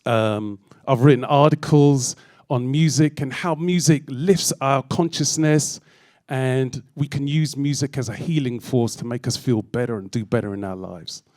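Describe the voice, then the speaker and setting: London man, middle-aged, talking to a crowd, relaxed and chatty, dry close sound. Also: mid-range voice, measured pace, no room sound. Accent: London English. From London, England. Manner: friendly, chatty, with frequent ums. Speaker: guest speaker. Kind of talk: live talk to an audience. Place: event hall with audience.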